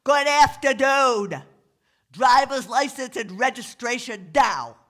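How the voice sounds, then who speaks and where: A man acts out a big, cranky cop from a comic story, with a thick New York accent. The voice is high, forceful and stuffed-up, bullying in every phrase. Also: sing-song, normal speed, exaggerated voice. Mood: barking, angry. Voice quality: congested, stuffed-up nose.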